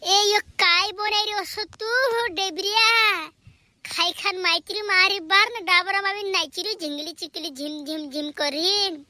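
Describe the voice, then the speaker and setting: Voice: very high voice, fairly even intonation, measured pace, bright, forceful tone, no room sound, full character voice. A performer voicing a chattering, scolding village family member in an amateur cartoon comedy.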